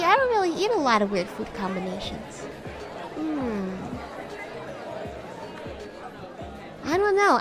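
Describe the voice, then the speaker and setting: A woman streamer voicing a bratty, haughty yet sweet young demon classmate in improvised, teasing roleplay chat. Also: high voice. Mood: chatty, playful. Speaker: streamer, VTuber host.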